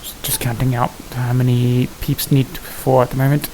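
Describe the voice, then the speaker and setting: A gamer giving casual gameplay commentary, muttering and thinking aloud to themselves in a gravelly voice. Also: medium pitch, flat delivery, moderate pace, dark tone. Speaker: gamer hosting a gameplay series. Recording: hissy, noisy home recording.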